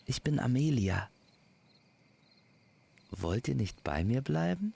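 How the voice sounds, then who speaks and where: A man's voice: mid-range voice, sing-song, takes it slowly, vocal fry, dark tone, slightly rough, pitched above the performer's own voice, full character voice. A man voicing a polite, eager, lovestruck young noblewoman with courtly speech in a fairy-tale audio story. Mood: admiring.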